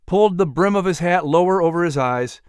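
A male audiobook narrator with a fairly high, smooth voice. He reads a dramatic historical story for children in a scripted style. The recording is very dry and close. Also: brisk, no room sound.

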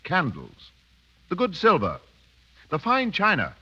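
Villain for vintage radio play: urbane, cruel khan, mock-courteous, husky, widely varied pitch. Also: male voice, wildly swooping pitch, unhurried, sharp tone, full character voice. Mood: suave, expansive. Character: suave, cruel Oxford-educated mountain khan, a villain. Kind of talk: 1930s radio drama acting. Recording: dull 1930s radio broadcast.

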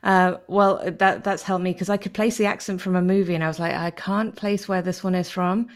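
A woman's voice: fairly low pitch, level tone, a little fast. A woman hosting an interview podcast, chatty and upbeat, with enthusiastic interjections. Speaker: podcast host. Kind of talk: casual interview chat.

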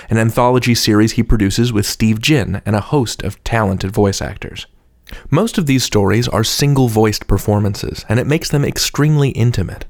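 A man's voice: medium pitch, dry recording. Earnest male podcast host, dry sound. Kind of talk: scripted podcast introduction.

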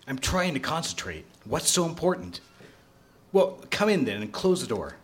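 Casting: actor as demanding male boss, curt, impatient, irritable, with animated swings in pitch. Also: normal speed. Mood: irritated, curt. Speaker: radio drama actor. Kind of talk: live radio drama acting.

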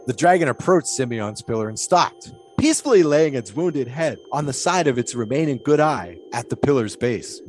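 A man's voice: medium pitch, animated, normal speed, few pauses, a little mellow, faint music behind, close to an everyday voice. A man playing an eccentric, devout 1920s pole-sitter in an audio drama, musing in folksy, old-fashioned storytelling phrases. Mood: solemn storytelling.

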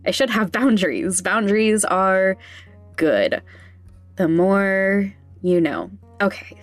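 A woman with a fairly low voice talks at a very slow pace, as a podcast host speaking off the cuff. She is candid but halting and a little self-conscious. The sound is dry and close, with music behind her. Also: no echo. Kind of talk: candid, casual podcast talk.

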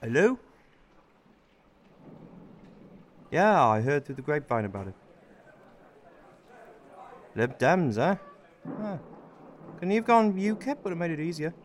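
Male actor as cynical, two-faced politician for radio drama; higher-pitched, very lively delivery, some noise behind. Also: normal speed, pauses often, in a large hall, lightly acted. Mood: flustered. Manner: sardonic grumbling, swears freely. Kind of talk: radio play acting.

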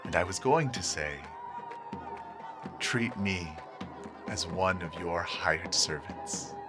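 A man's voice: sits low, takes it slowly, over music, a crowd in the background. A man voicing a remorseful young son humbly confessing. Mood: contrite. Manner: contrite, humble.

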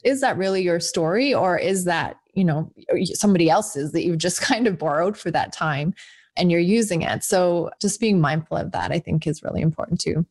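A woman in her forties talks as a guest author in a relaxed interview. Her voice sits a little low, and she rambles cheerfully, circling back with restarts and fillers. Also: moderate pace. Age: 40s. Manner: chatty, rambling with many restarts and likes. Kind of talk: casual podcast interview.

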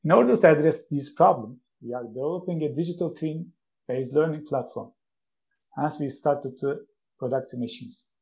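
This is a man, answering interview questions about his work. His voice is mellow and warm rather than bright. He speaks in a measured, explanatory way and sometimes restarts a phrase. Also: fairly high pitch, creaky. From Turkey. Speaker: guest, company founder.

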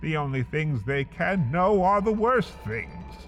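A man reading a scripted comedic story in the second person, wry and slow, with big swings in his voice. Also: a little high, sing-song, fairly bright tone, long reverb tail, a little hiss or hum. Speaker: narrator.

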